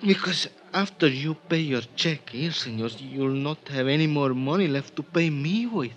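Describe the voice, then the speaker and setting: An actor playing an eager, deferential young hotel bellboy with a Spanish accent in a 1950s radio drama. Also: male voice, on the high side, expressive, normal speed, lightly acted. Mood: wheedling. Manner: deferential, wheedling, hesitant. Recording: muffled, noisy old radio broadcast.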